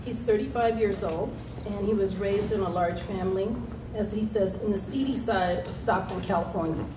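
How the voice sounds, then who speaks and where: A woman's voice: mid-range voice, moderate pace, reverberant, distant mic, background noise. A woman moderator introducing a panel speaker and encouraging the audience to be supportive. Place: large hall.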